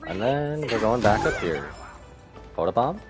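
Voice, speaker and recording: A man's voice: fairly low pitch, sing-song, measured pace. A man giving casual live commentary as he streams a video game. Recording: game music behind the voice.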